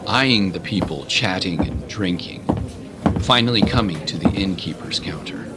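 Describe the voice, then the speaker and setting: A man's voice: sits low, expressive, normal speed. A man narrating a scripted adventure story in a dramatic style. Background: loud music.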